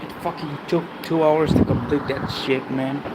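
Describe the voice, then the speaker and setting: A man giving casual gameplay commentary as a streamer, with loud game noise behind him. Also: fairly high pitch, steady tone, normal speed.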